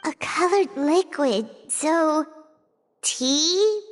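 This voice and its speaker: A woman voicing a tiny, perky, childlike fairy companion in a video game, eager and squeaky. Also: animated, extremely slow, full character voice. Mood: curious. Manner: squeaky, eager, refers to self by name. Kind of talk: scripted video game voice acting.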